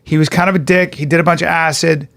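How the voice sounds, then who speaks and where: A man in his fifties, a podcast co-host telling stories in animated casual chat. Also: on the high side, quick pace. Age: 50s. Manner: animated storyteller, slips into voices.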